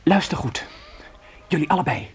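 Man playing an earnest, animated pioneer boy. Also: fairly high pitch, normal speed, lightly acted. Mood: grave, urgent. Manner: earnest, commanding, urgent. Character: determined adolescent pioneer boy leading his siblings westward. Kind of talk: radio play acting.

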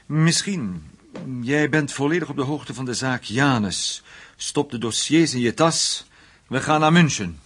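Husky, commanding boss. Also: male voice, mid-range voice, expressive, a little slow, a bit bright. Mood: brisk, businesslike. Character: brisk, authoritative male boss giving orders. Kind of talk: radio drama acting.

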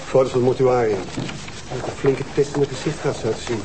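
An actor playing a skeptical younger police detective with quick retorts, in a Dutch TV drama. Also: male voice, mid-range voice, fairly even intonation, noisy background, close to an everyday voice.

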